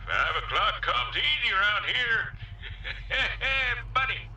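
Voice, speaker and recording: An actor playing a lazy, defiant factory worker in a game audio log, with a folksy, self-satisfied drawl. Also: male voice, high voice, very animated, takes it slowly, bright, forceful tone, highs cut off, full character voice. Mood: smug, lazy. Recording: distant, echoing, noisy old audio log.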